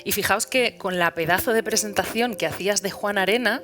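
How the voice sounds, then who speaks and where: Woman hosting a live panel, bright punchy voice, light music under it. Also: mid-range voice, fairly quick. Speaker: event host and moderator. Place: event hall before an audience.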